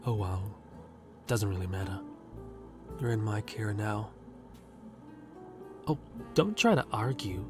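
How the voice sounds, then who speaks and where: A man playing a confident, self-centered handyman in an audio roleplay, teasing and cocky. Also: fairly low pitch. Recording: music bed underneath.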